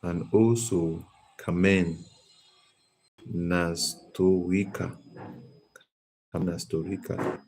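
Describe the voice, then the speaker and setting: Male live-stream teacher, low mellow voice, quizzes viewers by name. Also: level tone, slow pace, pauses often, a little hiss or hum, low-quality recording. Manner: calls on viewers by name and reads their comments. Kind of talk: interactive live lesson.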